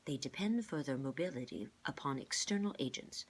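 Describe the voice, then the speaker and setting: A woman voicing a calm, clever older man in a storybook, measured and formal as he explains. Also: rumbling low, slight background noise. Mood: patient explaining.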